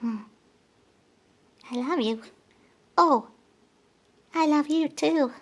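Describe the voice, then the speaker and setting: A woman presenting to young children, talking in a gentle, affectionate and playful way. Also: high-pitched, drawn-out words. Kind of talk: nursery rhyme and gentle talk to children.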